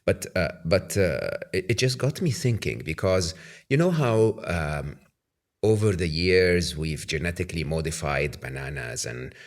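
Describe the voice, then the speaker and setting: A man's voice: sits low, expressive, unhurried. A man in his fifties from Egypt, hosting a podcast, who speaks thoughtfully with frequent hesitant restarts. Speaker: podcast host. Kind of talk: conversational interview. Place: bright room with plants. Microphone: podcast mic on an arm.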